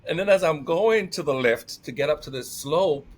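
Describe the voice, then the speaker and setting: A man with a Jamaican accent, a guest telling lively stories in conversation and laughing often. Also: on the high side, normal speed. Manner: energetic, animated, laughs often. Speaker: podcast guest. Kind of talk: storytelling conversation.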